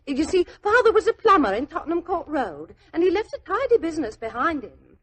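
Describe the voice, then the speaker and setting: A woman's voice: extremely high pitch, sing-song, brisk. An actress playing a chatty, naive young working-class woman in a 1940s radio drama, with a London accent and a flustered, rambling, deferential manner. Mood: chatty, confiding. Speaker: actor in a radio detective drama.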